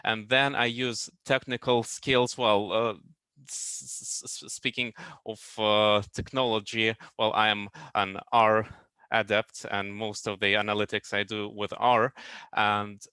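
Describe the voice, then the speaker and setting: A man speaks on a webinar video call with a low voice, and his tone is bright and crisp. He talks hesitantly and stammers through restarts, and the sound is dry. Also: little pitch movement, measured pace, dry recording. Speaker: business consultant. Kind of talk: webinar talk.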